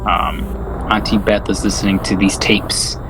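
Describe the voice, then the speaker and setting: A man's voice: fairly low pitch, fairly even intonation, measured pace, creaky, noisy background. A man co-hosting a podcast, casually discussing films in a crude, joking, profane way. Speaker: podcast co-host.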